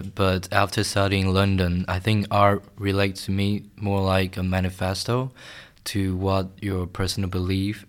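Smooth, flat-toned man from Taiwan. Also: low-pitched. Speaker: designer, podcast guest. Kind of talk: interview answers.

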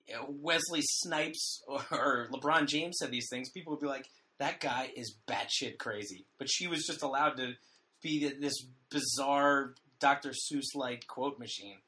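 A man with a hoarse voice, co-hosting a casual comedy podcast with wry, deadpan jokes. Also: mid-range voice, a little slow. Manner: wry, deadpan jokey delivery with frequent restarts. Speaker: podcast co-host.

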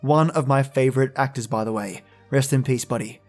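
A man hosting a podcast, reading scripted spooky storytelling narration in a theatrical way with dramatic pauses. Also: mid-range voice, darkish tone, soft background music.

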